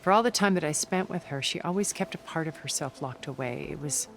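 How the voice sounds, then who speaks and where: An actor playing a secretive male schemer in a video game, speaking in a measured, composed way. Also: fairly high pitch, fairly bright tone, faint music behind. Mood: reminiscing. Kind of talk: video game cutscene acting.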